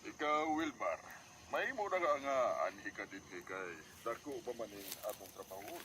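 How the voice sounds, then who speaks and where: A man's voice: fairly low pitch, animated, normal speed, pushed and bright, a little hiss or hum. A man playing a reassuring construction boss in a radio drama, matter-of-fact and directive, with a Cebuano Filipino accent. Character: male construction boss, reassuring, steady authority. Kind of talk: Philippine radio drama acting.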